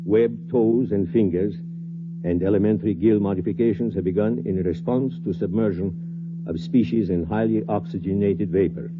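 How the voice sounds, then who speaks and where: An actor playing a grave scientist in a 1950s radio drama, speaking in a clipped, solemn, measured way over a muffled vintage recording. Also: male voice, on the low side, heavy background noise, lightly acted. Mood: solemn, clinical. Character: grave male scientist running a desperate experiment on humanity. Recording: muffled vintage radio, loud humming electronic tones.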